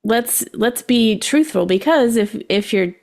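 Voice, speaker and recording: A woman's voice: a little high, lively intonation, measured pace, a little mellow. A woman giving casual advice on a podcast in an informal, rambling way, often voicing imagined dialogue.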